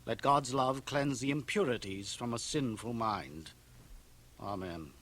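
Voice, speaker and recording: An actor playing a stern, pious small-town minister, solemn and righteous, in a radio drama. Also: male voice, medium pitch, a little slow, a bit bright, slight background noise, lightly acted. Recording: 1980 radio drama from home cassette transfer.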